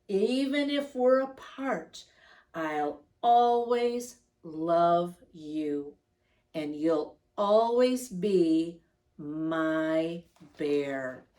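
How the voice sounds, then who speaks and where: Storybook soldier, male, read by a woman: earnest, tender, very slow delivery, slightly distant microphone. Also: sits high, exaggerated voice. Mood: loving, earnest. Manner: gentle, serious, deliberate.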